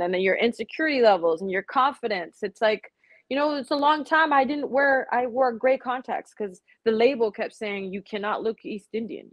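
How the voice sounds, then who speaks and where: A woman's voice: a little high, dry recording. Frank woman guest on a dry video call. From Middle East. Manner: frank, animated, gestures while talking. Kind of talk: candid conversational storytelling. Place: home room, indoors. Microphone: webcam on a video call.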